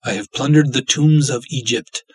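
A man voicing an obsessive, lovestruck villainous cultist in a dramatic story reading, florid, theatrical and menacing, with a husky voice. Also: mid-range voice, moderate pace, darkish tone, no room sound, voice pushed up. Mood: impassioned, pleading.

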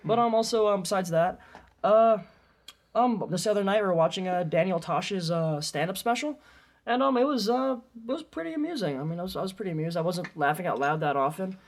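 A man's voice: sits high, animated, fairly quick. A man co-hosting a podcast in loose, joking conversation, with irreverent, self-mocking humor. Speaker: podcast co-host. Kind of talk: casual joking chat.

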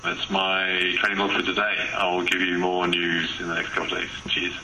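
A man's voice: sits low, monotone, moderate pace, gravelly. A man recording a casual training diary, hesitant and full of fillers. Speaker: triathlete recording a training log. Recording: roomy echo with faint noise.

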